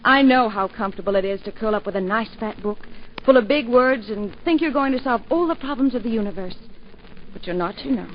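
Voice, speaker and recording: A woman's voice: on the high side, lively intonation, a little fast, a little hiss or hum, lightly acted. A woman playing an earnest young English lady. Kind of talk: 1940s radio acting. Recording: dull lo-fi 1940s radio broadcast.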